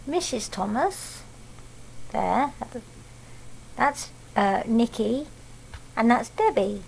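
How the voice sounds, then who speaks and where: A woman reading a picture book aloud to young children, pausing to explain things simply and ask them questions. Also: fairly high pitch, lively intonation, gravelly, a little mellow, a little hiss or hum, low-quality recording. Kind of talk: read-aloud picture book storytelling with asides.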